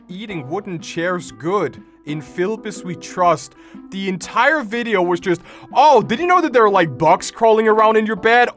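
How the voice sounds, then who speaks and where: A young man gives casual reaction commentary on a stream. His voice is high for a man, with an exclamatory, rambling delivery. Faint music sits behind him. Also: a bit bright.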